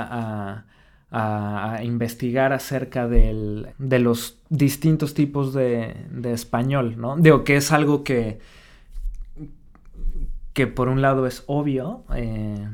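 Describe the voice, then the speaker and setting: A man with a Mexican Spanish accent talks slowly and reflectively as an interview guest. He keeps pausing, restarting his sentences and adding little tag questions as he works through his ideas. His voice sits at an ordinary middle pitch. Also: a little mellow. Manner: halting and thoughtful, many fillers and restarts, frequent tag questions. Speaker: artist guest.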